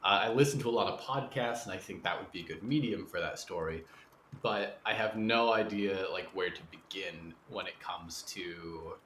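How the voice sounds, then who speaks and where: A man's voice: low-pitched, moderate pace, a bit bright. A man hosting a podcast interview, putting thoughtful questions to a guest in a conversational way. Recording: roomy echo, faint background noise.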